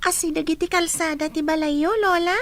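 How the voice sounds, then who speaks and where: A woman's voice: very high voice, fairly even intonation, normal speed, no echo. A woman voicing a cheerful little girl in a radio drama. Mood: chirpy.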